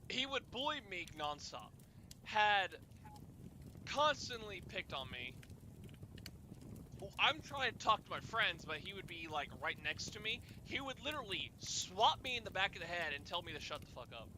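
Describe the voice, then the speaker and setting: A young man telling personal stories casually, in a halting way with repeated words and restarts. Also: high voice, very animated, bright, forceful tone, a little hiss or hum. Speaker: storyteller and host of a group chat.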